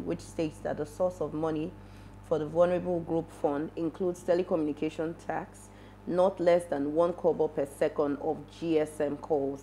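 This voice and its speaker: A woman's voice: deep voice, darkish tone, a little hiss or hum, low-quality recording. A woman in her thirties with a Nigerian accent, reviewing and commenting on news as a talk show panelist in a studio. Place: TV studio, at a desk.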